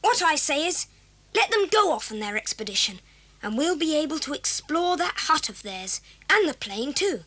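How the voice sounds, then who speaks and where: A woman's voice: high voice, sing-song, moderate pace, bright, forceful tone, heavily acted. A woman playing a plucky, practical schoolboy with an eager, earnest way of talking, in a 1980s children's audio drama.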